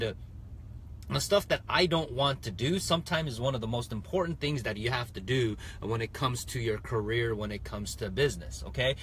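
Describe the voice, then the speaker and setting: A man in his thirties talks straight into a phone at arm's length from the driver's seat of a car. His voice sits at an ordinary middle pitch, and he rambles in a casual way with plenty of "uh"s and "right?"s. A faint hum hangs behind him. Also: fairly bright tone. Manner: chatty, many fillers and rhetorical "right?". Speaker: real estate investor and vlogger. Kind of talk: casual live-stream monologue. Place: inside a parked car. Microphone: phone held at arm's length.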